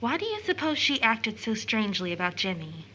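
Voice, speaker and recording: A woman's voice: medium pitch, sing-song, fairly quick, sharp tone, a little hiss or hum, low-quality recording. A woman acting a sweet, spirited, devoted young daughter in an audio drama, girlish and affectionate. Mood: hushed, worried.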